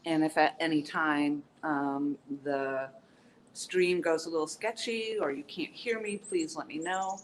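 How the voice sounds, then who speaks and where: A woman's voice: low-pitched, a little slow. Woman, stuffy nose, sniffly, chatty live-stream host, outdoors on a handheld phone, faint background noise. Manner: chatty, upbeat, greets viewers by name, many ums. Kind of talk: casual live-stream chat. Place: outdoor castle courtyard.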